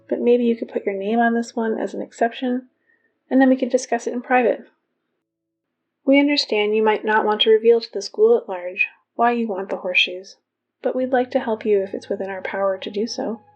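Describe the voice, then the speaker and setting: A woman's voice: on the high side, a little mellow. Formal schoolgirl announcer, muffled sound. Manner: measured, formal, matter-of-fact. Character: earnest, officious high school girl reading morning announcements. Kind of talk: scripted announcements in an audio drama.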